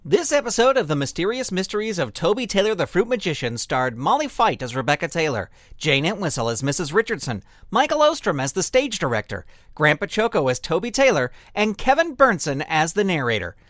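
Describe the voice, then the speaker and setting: A man serves as announcer, reading out scripted credits. His pitch bounces up and down from phrase to phrase, and the recording is dry and close. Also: big pitch swings, brisk, hardly any pauses, fairly bright tone, no echo.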